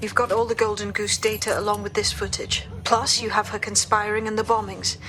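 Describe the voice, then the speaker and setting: A woman's voice: medium pitch, level tone, brisk, a bit bright, close to an everyday voice. An actress playing a pragmatic, earnest female resistance operative in a video game, speaking plainly. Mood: assured.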